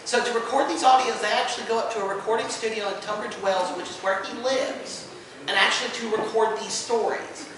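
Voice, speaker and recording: A man's voice: high voice, a little fast, crowd noise. A man hosting a fan convention panel, explaining things off the cuff with enthusiastic emphasis. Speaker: panel host. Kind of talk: unscripted fan convention presentation. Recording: very echoey room, distant, faint noise.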